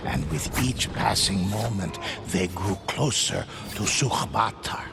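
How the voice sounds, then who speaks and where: A man narrating a game story in a measured, solemn way. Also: fairly low pitch, vocal fry, background noise.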